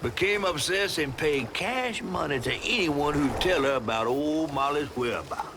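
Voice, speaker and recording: An actor playing an old, folksy, wry Southern storyteller in a video game, drawling like someone telling a folk tale. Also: male voice, fairly high pitch, expressive, normal speed, noisy background. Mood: storytelling. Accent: American South. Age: older. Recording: music under the voice. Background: game music.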